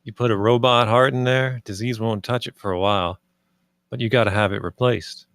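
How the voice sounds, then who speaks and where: A man's voice: mid-range voice, steady tone, fairly quick, a bit bright, no echo, studio quality, close to an everyday voice. Man playing a wry, easygoing, ailing guy. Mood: wry, matter-of-fact. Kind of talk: screenplay table read.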